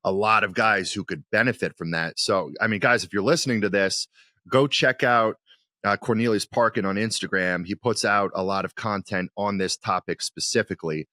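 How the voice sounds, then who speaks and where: A man's voice: on the low side, normal speed, bright, forceful tone, no room sound, studio quality. A man hosting a podcast, interviewing conversationally and feeling his way through wordy questions.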